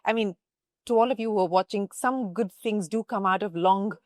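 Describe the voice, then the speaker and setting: A woman's voice: medium pitch, moderate pace, no echo, clean studio sound. A woman with an Indian English accent, hosting an interview in a polished, articulate and emphatic broadcast style. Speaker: podcast host and interviewer.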